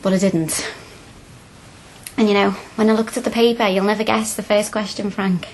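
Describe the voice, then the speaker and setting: A woman's voice: medium pitch, fairly even intonation, normal speed, a little hiss or hum, close to an everyday voice, poor audio. A woman playing a frank, earnest young working-class student in a radio drama, plain-spoken and colloquial. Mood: earnest, reflective.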